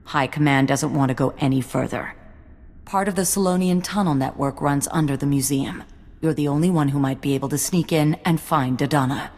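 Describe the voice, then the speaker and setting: An actress playing a composed military contact in a video game, speaking in a measured, businesslike way. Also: female voice, low voice, a little mellow. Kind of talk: video game cutscene acting. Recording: long hall reverb.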